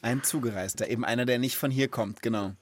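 A man's voice: mid-range voice, fast. A man hosting a children's explainer show, talking in a playful, eager way and asking lots of questions. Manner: animated, joking, asks eager questions. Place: Munich.

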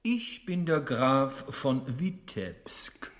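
A man's voice: medium pitch, big pitch swings, very slow. An actor playing a formal nobleman announcing his title in a grotesque stage comedy. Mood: formal, stiff. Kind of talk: grotesque theatrical comedy acting.

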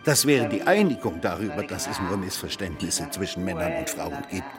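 A man's voice: deep voice, sing-song, moderate pace, loud music behind the voice. An actor playing a world-weary, cynical man who philosophizes in a rambling, theatrical, mock-courteous way in a radio drama. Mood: mocking, bitter. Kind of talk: German radio drama dialogue.

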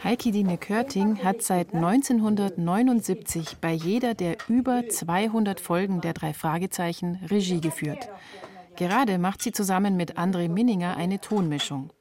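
A woman's voice: medium pitch, normal speed, warm tone. A woman reporter reading scripted narration for a radio feature.

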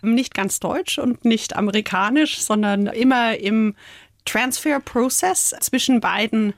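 Cheerful Franconian-born woman pastor, higher voice. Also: unhurried, dry recording, clean studio sound. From Franconia, Germany. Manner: cheerful, laughs often, earnest and articulate. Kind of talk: radio interview guest.

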